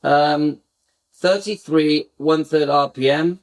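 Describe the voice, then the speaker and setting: A man's voice: on the high side, takes it slowly. A middle-aged man hosting a casual live fan chat, talking to viewers in a rambling, reminiscing way with many restarts. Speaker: fan channel host.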